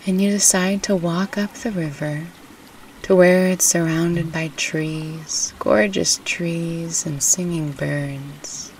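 A woman narrating a guided meditation in a soothing, unhurried, gently instructive way. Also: on the low side, fairly even intonation. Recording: distant mic with faint background noise.

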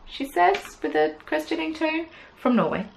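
A woman's voice: sits high, big pitch swings, moderate pace, creaky, slight background noise, rough recording. A young woman hosting a knitting podcast, chatting casually to camera from a small craft room, rambling with lots of "um"s and playful asides. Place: small indoor craft room with yarn shelves. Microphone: camera at desk distance.

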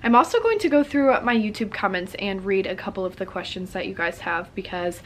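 A woman's voice: medium pitch, animated, a little fast, slight background noise. A young woman in her twenties chatting casually to camera while doing her makeup at home, with a slightly congested, sore throat. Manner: chatty, lots of "like" fillers. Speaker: beauty vlogger. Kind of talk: casual get-ready-with-me chat. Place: bedroom at home. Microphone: camera in front, close framing.

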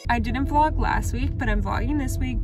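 A woman in her twenties, chatting casually into a handheld camera as she vlogs from a car and a hotel room, telling stories with quick asides. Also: a little high, over loud music. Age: 20s. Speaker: vlogger. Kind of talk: casual vlog chat. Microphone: handheld camera at arm's length.

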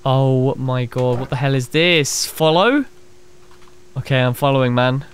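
A young man plays a horror game, reading its text aloud and reacting with quick exclamations and asides to viewers. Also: mid-range voice, a little hiss or hum. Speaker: gaming streamer. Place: small room at a desk. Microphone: headset. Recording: game music playing under the voice.

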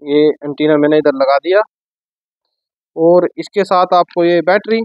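A man, giving a casual unboxing and sales pitch as a shop presenter, explaining informally and repeating himself. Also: a little slow, dry recording, dull audio. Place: shop office with sofa, indoors.

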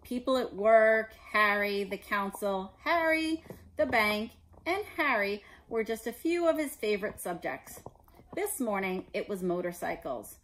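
Animated woman reading a storybook. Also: medium pitch, a little slow, low-quality recording. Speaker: storybook reader. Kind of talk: expressive read-aloud narration for children. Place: living room indoors.

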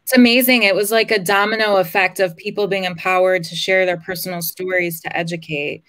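Woman moderator, lower voice, dry sound, appreciative questions on a video call. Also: fairly even intonation, a little fast, fairly bright tone, dry recording. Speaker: panel moderator.

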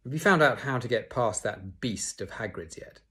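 A man's voice: mid-range voice, animated, moderate pace, sharp tone. A man voicing a cold, threatening male teacher with a clipped, icy delivery.

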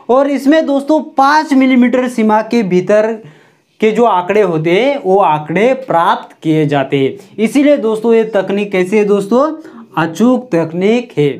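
A man's voice: high voice, darkish tone. A young man teaching at a whiteboard, speaking on a lapel mic and addressing his audience again and again, asking questions and answering them himself. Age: young adult. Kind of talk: whiteboard lesson. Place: indoor room in front of a whiteboard.

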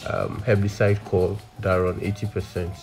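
A man's voice: low-pitched, monotone, moderate pace, darkish tone, faint music behind. A man explaining farm work in an instructional voice-over, with frequent ums and okays. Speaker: farming channel presenter.

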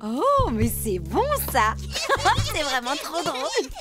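Little female cartoon animal: extremely high, animated, curious, giggly, excitable. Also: slow, loud music behind the voice, heavily acted. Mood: curious, amused. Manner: excitable, exclamatory. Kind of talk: children's cartoon dub.

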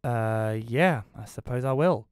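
A man's voice: medium pitch, measured pace, smooth, no echo, natural voice. A man playing a reclusive, wry widower, narrating an audio play in the first person in a dry, reflective way. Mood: hesitant.

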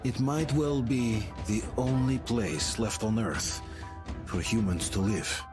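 An actor playing a weary soldier who narrates the opening of a game in a grave, reflective voice, over loud music. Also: male voice, lowish voice, takes it slowly. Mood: solemn. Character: weary male soldier narrating a ruined world.

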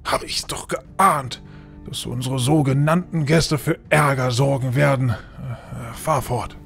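A frail, imperious old lord of a fantasy radio play, acted by a man. He speaks slowly with a creaky, gravelly edge and commands with irritable haughtiness. Faint music plays underneath. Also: medium pitch, steady tone, fairly bright tone. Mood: grave, commanding. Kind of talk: audio drama acting.

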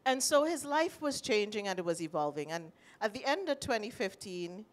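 A middle-aged woman with a Trinidadian accent, sharing a personal story at a panel with animated, emotional delivery, speaking into a handheld mic. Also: mid-range voice, normal speed. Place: panel stage in a large indoor room.

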